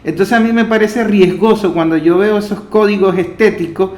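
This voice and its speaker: A man's voice: sits high, moderate pace, darkish tone. A Venezuelan man hosting a conversational interview and sharing his own opinions as he talks. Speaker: interviewer, host. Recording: echoey, roomy sound.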